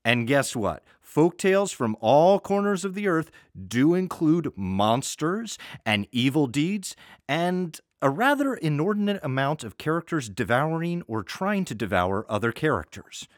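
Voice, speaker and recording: A man's voice: fairly high pitch, sing-song, measured pace, no room sound, clean studio sound. An American man introducing an audio story series as host, in a wry, conversational scripted style.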